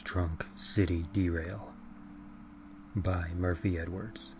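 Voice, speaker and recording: Very deep, slow, theatrical male narrator. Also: fairly even intonation, dull audio, background noise. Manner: theatrical, rhyming intro read with menace. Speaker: narrator and announcer. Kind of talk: dramatic horror reading.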